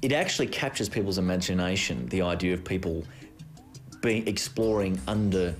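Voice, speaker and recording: A man's voice: low-pitched, lively intonation, moderate pace, faint music behind. A man, a journalist, giving casual interview answers with some fillers.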